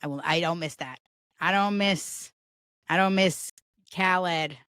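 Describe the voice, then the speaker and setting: A woman with a deep voice, guesting on a podcast and talking in a loose, rambling way full of "like". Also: measured pace, fairly bright tone, smooth, no room sound. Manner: rambling, heavy use of "like" fillers. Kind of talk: casual chat.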